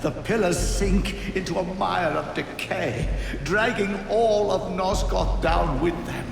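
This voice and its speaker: An actor playing a sly, sanctimonious old sorcerer's spirit in a video game, with theatrical, solemn pronouncements and a wounded air. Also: male voice, high voice, creaky, exaggerated voice. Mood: accusing, grave. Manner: theatrical, slow, solemn pronouncements with swooping emphasis. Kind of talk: dramatic video game voice acting. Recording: long echoing reverb, music behind.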